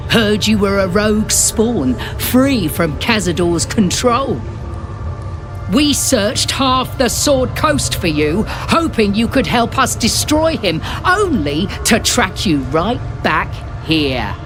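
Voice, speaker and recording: Stern female monster hunter, measured and accusing, game score behind. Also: mid-range voice. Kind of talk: video game dialogue.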